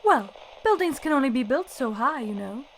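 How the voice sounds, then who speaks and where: A woman's voice: sits high, lively intonation, normal speed, low-quality recording. A woman playing a chatty, gossip-loving caller to a radio show, breezy and earnest, in a radio drama. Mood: eager, gossipy.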